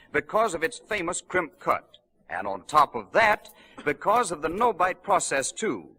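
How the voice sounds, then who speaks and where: A man's voice: bright, forceful tone, husky. A man reading a 1930s radio advertisement as an emphatic commercial announcer on an old broadcast. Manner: emphatic sales pitch.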